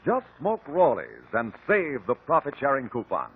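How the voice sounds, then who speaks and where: A man's voice: medium pitch, wildly swooping pitch, moderate pace, slightly rough, phone-quality sound. A man with an American accent announcing an emphatic, scripted 1950s radio advertisement. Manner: emphatic, selling. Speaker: commercial announcer. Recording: band-limited old radio recording.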